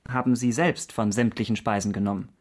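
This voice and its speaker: An actor playing a courteous, persistent investigator in a period radio crime drama, asking clipped, formal questions. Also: male voice, on the low side, fairly even intonation, a little fast, natural voice. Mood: probing, formal. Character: formal, persistent male investigator in 17th-century Paris. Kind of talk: German radio drama acting.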